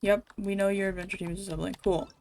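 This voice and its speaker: A woman's voice: medium pitch, little pitch movement, fast talker, a little mellow. A woman game streamer chatting casually to viewers as she plays. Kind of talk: casual livestream chat while playing a game.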